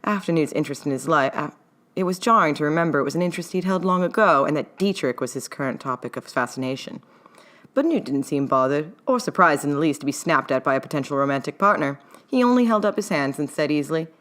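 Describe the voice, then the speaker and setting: A woman's voice: low-pitched, quick pace, a bit bright. A woman reading a scripted story aloud as its narrator and author. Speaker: author reading own fan fiction.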